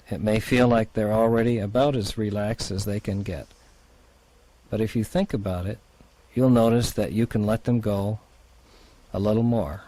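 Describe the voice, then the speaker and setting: Low-voiced man guiding relaxation. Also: rough recording. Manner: soothing, unhurried, gently instructing. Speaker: therapist guiding a relaxation exercise. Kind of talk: guided relaxation instruction.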